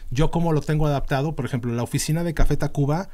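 A man's voice: on the high side, very fast, mellow. Middle-aged Mexican man explaining at length. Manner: explains at length with many fillers and restarts. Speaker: band manager, podcast guest. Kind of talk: casual interview talk. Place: small dim podcast studio. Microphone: studio mic on a boom arm.

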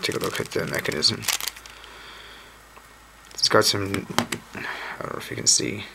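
A hoarse man, recovering from a lost voice, chatting casually and thinking aloud as he hosts a home video. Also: deep voice, measured pace. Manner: relaxed, thinking aloud with mild uncertainty. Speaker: cube channel host. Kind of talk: casual unboxing commentary. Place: indoors at a wooden table. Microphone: camera mic on a tabletop shot of hands. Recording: home camera audio with steady noise.

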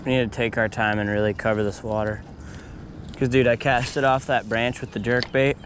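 A young man vlogging casually while fishing outdoors at a pond, talking to viewers with excitement over each catch. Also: medium pitch, brisk, background noise. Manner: excited, upbeat, talks to viewers as y'all. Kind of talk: casual outdoor vlog narration. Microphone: action camera on chest or in hand.

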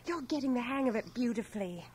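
An actress playing a tender, teasing young English volunteer nurse in a radio drama, poised and brisk. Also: female voice, fairly high pitch, animated, a little mellow, breathy edge. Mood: brisk, encouraging. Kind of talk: 1980 radio drama acting.

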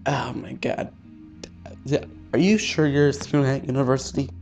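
A man playing a visual novel, reading its text aloud haltingly with casual, sweary commentary. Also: medium pitch, creaky, a little mellow. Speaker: let's-player. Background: faint game music.

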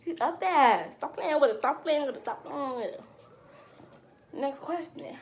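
A woman's voice: sits high, measured pace, noisy background. An eighteen-year-old woman answering viewer questions in a casual, slangy chat, recorded on a webcam at home. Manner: chatty, slangy, animated with hand gestures. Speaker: vlogger. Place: small room at home, indoors.

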